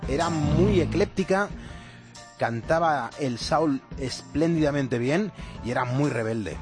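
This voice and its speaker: A man from presenting music on the radio in casual chat, upbeat and quick to laugh. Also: a little high, animated.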